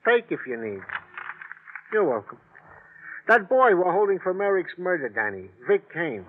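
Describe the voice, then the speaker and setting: An actor playing a wordy, sentimental police detective with florid, oddly poetic phrasing in an old radio drama. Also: male voice, high voice, big pitch swings, moderate pace, slight background noise. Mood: dutiful reporting. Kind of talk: old-time radio acting. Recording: tinny, band-limited vintage radio recording.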